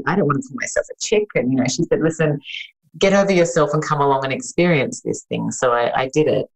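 A woman's voice: a bass voice, poor audio. An Australian woman in her forties telling her story as an interview guest, chatty and full of fillers, on a video call with a podcast mic. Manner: chatty, lots of "um" and "you know". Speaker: guest entrepreneur and author. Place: home room by a plant wallpaper, video call. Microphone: podcast mic in front.

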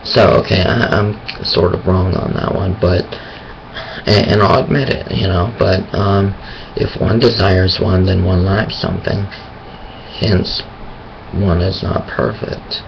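A man talking about his faith in a hesitant, thinking-aloud way, with a raspy voice and many pauses and restarts. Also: low voice, fairly even intonation, muffled recording, slight background noise. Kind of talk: casual monologue on faith.

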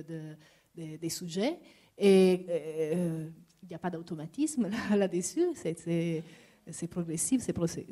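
A woman's voice: on the low side, mellow. An Italian woman with an Italian accent puts a long question at an academic panel, searching for words and repeating them as she goes. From Italy. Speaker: audience member asking a question. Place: lecture hall at a public panel.

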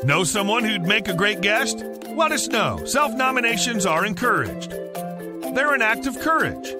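Slow announcer voice over loud music. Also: mid-range voice, big pitch swings. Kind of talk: scripted show intro. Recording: music bed behind.

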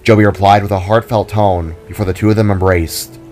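A man's voice: fairly low pitch, steady tone, brisk, a little mellow, soft background music. A man reading scripted story narration as the narrator.

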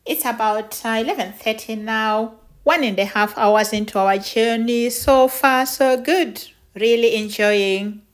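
A middle-aged woman narrating a travel vlog in a measured, matter-of-fact way. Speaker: travel vlogger. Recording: noisy, with vehicle and station rumble.

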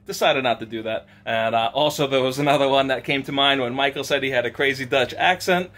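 An upbeat man in his thirties talks excitedly about his finds, chatty and quick to laugh. He speaks in a home garage workshop into a camera held at arm's length. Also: mid-range voice, background noise. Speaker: channel host. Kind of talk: casual car-parts vlog. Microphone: handheld camera at arm's length.

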